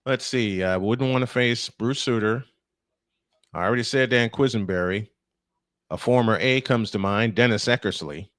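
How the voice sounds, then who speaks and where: A man's voice: medium pitch, moderate pace, a bit bright, no echo, studio quality. A man calling live baseball play-by-play in punchy, clipped phrases, mixed with casual chat. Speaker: play-by-play announcer and host.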